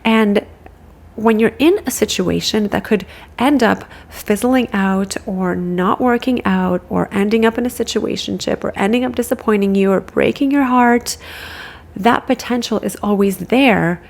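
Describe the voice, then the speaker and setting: A woman's voice: medium pitch, unhurried, gravelly. A woman hosting a solo dating-advice podcast, sounding stuffy from a cold and talking earnestly and directly to her listeners. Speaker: podcast host and dating coach.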